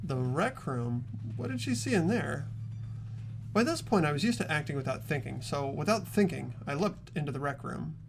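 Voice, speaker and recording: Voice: medium pitch, very animated, moderate pace, creaky, faint music behind. A young performer voicing an earnest, anxious teenage boy who narrates and questions in a dramatic video game. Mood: tense narration.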